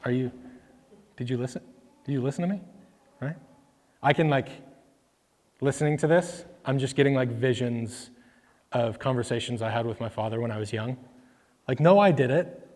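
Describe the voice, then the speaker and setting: A man's voice: medium pitch, moderate pace, long pauses, a little mellow, big-room sound. A man preaching a conversational sermon into a microphone, with many fillers, acting out the people in the scripture he reads. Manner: conversational, filler-heavy, voices characters when reading. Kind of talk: casual sermon with scripture reading. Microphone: microphone that cuts out.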